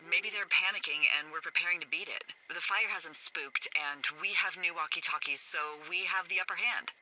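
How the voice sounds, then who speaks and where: Bright, edgy American woman on a walkie-talkie. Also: lowish voice, a little fast, low-quality recording. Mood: tense. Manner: blunt, swearing, agitated. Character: anxious, sardonic, foul-mouthed woman fire lookout. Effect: handheld radio. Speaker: fire lookout. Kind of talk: naturalistic game dialogue. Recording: walkie-talkie voice with faint noise.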